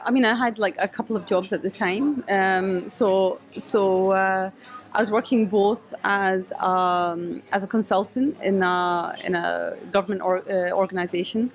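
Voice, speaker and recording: A woman answering interview questions in a cafe, thoughtful and hesitant, with many restarts. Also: medium pitch. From Scotland. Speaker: guest, photographer and activist.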